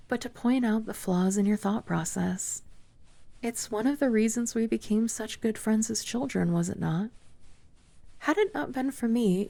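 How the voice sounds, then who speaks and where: A woman's voice: medium pitch, creaky, no room sound. A woman playing a composed, teasing young maid. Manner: formal, polite speech with gentle teasing; soft-spoken, dropping to whispers at times. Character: composed, dryly teasing young female maid and childhood friend. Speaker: ASMR roleplay performer. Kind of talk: ASMR roleplay.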